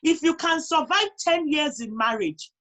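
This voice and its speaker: Female interview guest, Nigerian accent, high voice, conversational yet emphatic delivery. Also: expressive, unhurried, fairly bright tone. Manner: emphatic, repeats phrases for emphasis. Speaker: guest on a talk show. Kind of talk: conversational interview, personal advice.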